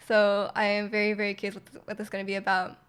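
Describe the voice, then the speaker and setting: A young adult woman live-streaming casual reactions, chatty and halting as she repeats phrases. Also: medium pitch, monotone, fairly bright tone, smooth.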